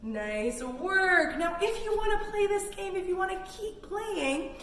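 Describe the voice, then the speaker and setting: A woman's voice: very high-pitched, lively intonation, unhurried, a bit bright. Lady doing kids yoga storytime, encouraging, echoey room, bit of background noise. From Canada. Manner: encouraging, simple step-by-step cues for children. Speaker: children's yoga teacher reading a picture book. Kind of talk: children's read-along and yoga instruction. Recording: roomy echo, distant mic, background noise.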